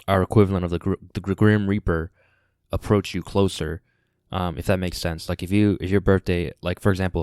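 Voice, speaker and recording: Low, flat-voiced man in his twenties. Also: clear, resonant tone, no echo, studio quality. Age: 20s. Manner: rambling, many fillers and restarts, laid-back. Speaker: podcast host. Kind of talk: casual solo podcast monologue.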